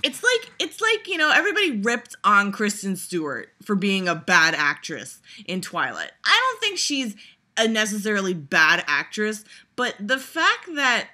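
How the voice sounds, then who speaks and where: A woman's voice: mid-range voice, wildly swooping pitch, moderate pace, pushed and bright. A woman vlogger giving a casual, exasperated, profane rant, full of fillers and restarts. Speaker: vlogger reviewing a trailer. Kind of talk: casual ranting reaction.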